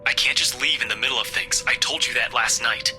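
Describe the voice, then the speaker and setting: Visual novel role, male actor: strained young detective, very bright tense delivery, curt, indignant, defensive. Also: mid-range voice, a little fast, soft background music, low-quality recording. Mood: defensive irritation.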